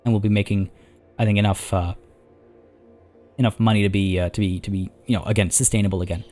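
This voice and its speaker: A man with a lowish, mellow voice, hoarse from hours of talking, rambles casually through a let's-play session. He often restarts his sentences and fills the gaps as he goes. Soft music plays faintly behind him. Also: level tone, fast talker. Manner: rambling, chatty, many restarts and fillers. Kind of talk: casual gameplay commentary.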